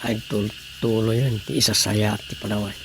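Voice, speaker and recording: A man's voice: fairly low pitch, level tone, moderate pace, noisy background, natural voice. A man acting in an Ilocano radio drama as a chatty, reflective village man, with an Ilocano Filipino accent. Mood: somber, reflective.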